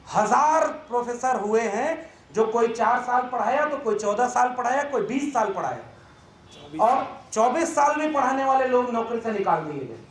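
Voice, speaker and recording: A man giving an emphatic, indignant, oratorical speech as a professor at a press conference. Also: extremely high pitch. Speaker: university professor and activist speaker. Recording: echoey room with faint background noise.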